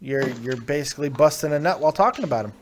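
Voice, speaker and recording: Male call-in host, slightly high voice, brash and teasing, crude humor, laughs loudly. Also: lively intonation, fast talker, slight background noise. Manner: loud, teasing, bursts of laughter. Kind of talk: crude joking call-in chat.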